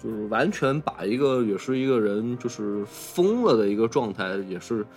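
A man's voice: lowish voice, expressive, moderate pace, darkish tone, soft background music. A man co-hosting a podcast, chatting casually about film and searching for his words as he goes. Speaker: podcast co-host.

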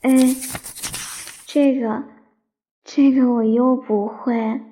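A woman playing a young, romantic, dreamy student in a radio drama, telling her love story in a soft, sentimental, unhurried way. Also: fairly high pitch, steady tone. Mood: helpless. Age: young adult. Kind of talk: radio drama narration and dialogue. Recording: dull lo-fi recording with piano music. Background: piano music.

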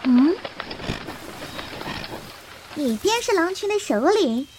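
A woman's voice: very high-pitched, big pitch swings, slow, darkish tone, a little hiss or hum, full character voice. A woman playing a proud, fierce little girl disciple in a Chinese period martial arts audio drama, curt and childlike. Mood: defiant, hostile.

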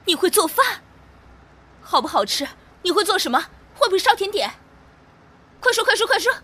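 A woman playing a brash, excitable, food-loving young cafe owner in a Chinese audio drama. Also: extremely high pitch, normal speed, heavily acted. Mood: indignant, excited. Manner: bossy, excitable, playful.

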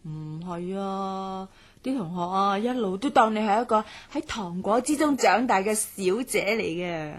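A woman playing an outspoken, loyal young student in a radio drama, expressive and exclamatory. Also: mid-range voice, unhurried. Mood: chatty, confiding.

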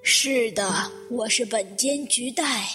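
A woman playing a polite, quietly insistent elderly widow in a Chinese audio drama, speaking with measured courtesy. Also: a little high. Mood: courteous, insistent. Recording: guitar music under the voice.

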